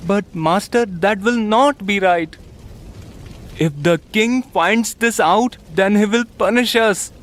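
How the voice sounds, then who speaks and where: A man's voice: high voice, slow, pitched above the performer's own voice. A man voicing a timid, honest, obedient shop helper in a children's cartoon. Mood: worried. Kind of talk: animated children's story acting.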